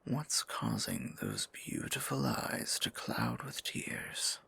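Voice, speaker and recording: A man playing a tender, possessive, courtly dark sorcerer in an intimate fantasy ASMR roleplay, speaking formally in a breathy, gravelly near-whisper. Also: very deep voice, huge pitch swings, heavily acted. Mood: tender concern. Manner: formal, archaic endearments, slow deliberate phrasing.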